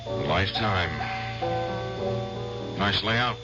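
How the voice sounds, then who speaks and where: An actor playing an obsessed war veteran in a 1970s radio drama, intense and bitter. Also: male voice, sits low, slow, loud music behind the voice. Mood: menacing. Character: obsessed veteran hunting an old comrade. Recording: dull vintage radio broadcast.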